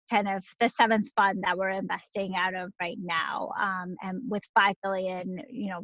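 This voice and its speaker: A mid-pitched woman speaks steadily over a video call, dropping in frequent fillers. The audio is dry and a little dull. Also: dry recording. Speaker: investment firm representative. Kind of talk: webinar introduction.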